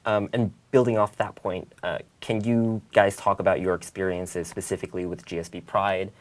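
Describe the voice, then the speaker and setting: A man's voice: deep voice, flat delivery, moderate pace, no room sound. A man in his twenties with an American accent, moderating a student question-and-answer webcast. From northern New Jersey, United States. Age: 20s.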